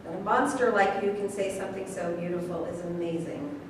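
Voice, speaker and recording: A woman's voice: lowish voice, fairly quick, natural voice. An actress playing a confused, accusing woman who confronts a killer, earnest and wary, in a stage play reading. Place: large room at a staged reading. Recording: echoey room, far mic, faint noise.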